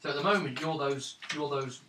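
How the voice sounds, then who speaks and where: A man acting as game master, describing scenes of a tabletop roleplaying game in halting, pausing phrases. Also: mid-range voice. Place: indoors around a gaming table. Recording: roomy, distant mic, clearly noisy.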